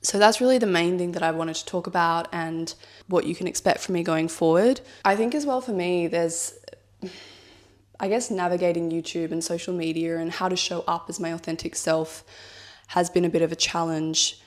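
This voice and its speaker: A woman in her thirties speaks in a fairly low voice, a touch husky from a cold. She muses out loud to camera in a personal vlog, thoughtful and rambling, leaning on fillers like "like" and "I guess.". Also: fairly even intonation, moderate pace. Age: 30s. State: has a cold, slightly husky. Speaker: vlogger. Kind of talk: reflective personal vlog talk.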